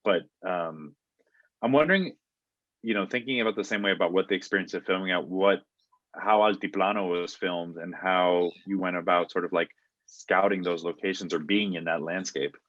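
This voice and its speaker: Man, very deep voice, casual interviewer, dry muffled sound. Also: normal speed, fairly bright tone, dry recording. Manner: casual, thinking aloud with many likes and rights. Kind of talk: conversational interview questions.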